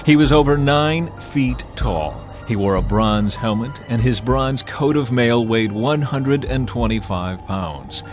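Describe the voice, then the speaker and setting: A man narrating scripture in a measured, dramatic reading. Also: mid-range voice, animated. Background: faint music.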